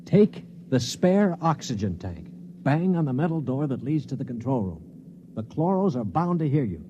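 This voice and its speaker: A man's voice: mid-range voice, sing-song, normal speed, warm tone, natural voice. An American actor in a 1950s radio drama playing a tense, commanding man coaching a crewmate over the radio with urgent instructions. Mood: urgent, steadying. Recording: muffled, noisy 1950s radio recording.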